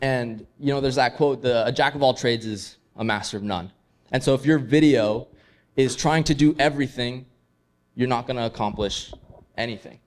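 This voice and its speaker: A young man from Canada speaks from a convention stage in a casual, upbeat way. His pitch sits in an ordinary middle range, and he leans on easy fillers as he explains his ideas. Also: moderate pace. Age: 20s. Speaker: conference speaker. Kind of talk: casual conference presentation.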